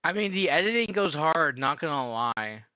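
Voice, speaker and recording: A man streaming a casual reaction, his voice bright and pushed forward. He keeps asking why in disbelief. The sound is dry and a bit dull, like a modest home setup. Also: a little high, very animated, smooth, dry recording. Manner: incredulous, asks rapid repeated questions.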